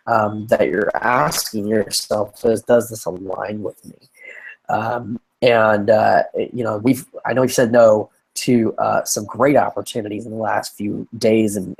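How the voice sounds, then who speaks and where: A man's voice: on the low side, vocal fry, breathy edge. A man co-hosting a casual conversation who is getting over a lung infection, so his voice is scratchy and tired, and he rambles with many restarts and fillers. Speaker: podcast co-host.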